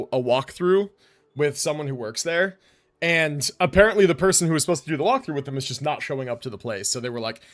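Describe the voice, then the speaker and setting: A man chatting casually and informally as he livestreams a game, rambling in slangy speech. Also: on the high side. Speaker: live streamer. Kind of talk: casual chat while livestreaming gameplay.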